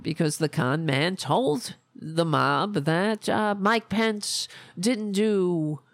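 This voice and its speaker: A hoarse woman hosts a political podcast, speaking slowly with a gravelly, creaky edge to her voice. She rambles, swears and loses her train of thought. The sound is dry and close. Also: fairly low pitch, dry recording. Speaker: podcast host. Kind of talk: casual political rant.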